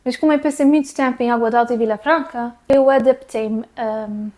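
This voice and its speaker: Bright-voiced Azorean woman vlogging. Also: fairly high pitch, steady tone, fairly quick. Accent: Azorean Portuguese mixed with North American English. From Azores, São Miguel. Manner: rambling, self-correcting, with bursts of laughter. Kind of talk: casual talk to camera.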